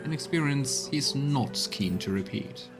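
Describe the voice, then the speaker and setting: A man's voice: mid-range voice, expressive, unhurried. A man doing let's play commentary as a game streamer, talking through the game and reading its texts aloud. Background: game music.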